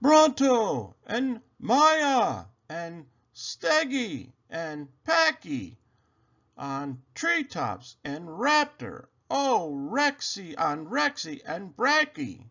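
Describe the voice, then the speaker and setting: A man voicing a jolly, booming Santa dinosaur in a children's storybook, calling out in a loud, drawn-out festive way. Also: wildly swooping pitch, highs cut off, exaggerated voice.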